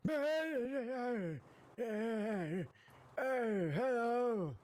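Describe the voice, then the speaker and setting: A man's voice: very high voice, animated, drawn-out words, darkish tone, a little hiss or hum, heavily acted. A man voicing a bumbling, self-important trucker in improvised game roleplay, with earnest, repetitive salesman patter. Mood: eager. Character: bumbling, self-important male trucker hawking big business.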